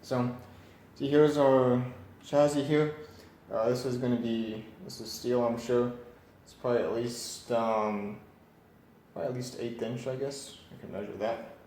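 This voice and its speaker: A man giving an unscripted hands-on walkthrough, musing aloud in a tentative, halting way. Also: mid-range voice, fairly even intonation, mellow. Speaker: radio repair hobbyist presenting. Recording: roomy echo, background noise, varying distance.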